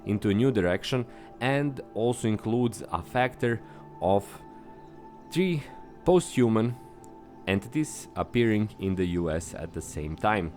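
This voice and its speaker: A man's voice: expressive, measured pace, a little mellow, soft background music. Serbian-accented man hosting a solo podcast. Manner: upbeat, earnest, stresses words with sudden high lifts. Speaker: podcast host.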